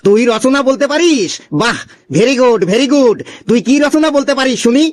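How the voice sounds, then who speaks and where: A man voicing an excitable, easily exasperated schoolteacher in a comic cartoon, exclaiming and repeating himself. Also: extremely high pitch, normal speed, heavy background noise. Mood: delighted.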